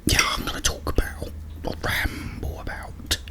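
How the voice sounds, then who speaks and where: A British man hosting an ASMR ramble in a breathy, unvoiced whisper, chatting casually with slow pauses. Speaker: ASMR video host.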